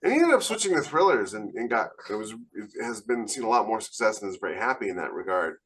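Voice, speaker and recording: A man's voice: fairly low pitch, big pitch swings, fast talker, fairly bright tone. A man co-hosting a podcast, chatting casually and acting out bits of quoted dialogue as he talks. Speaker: podcast co-host. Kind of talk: casual anecdotal chat.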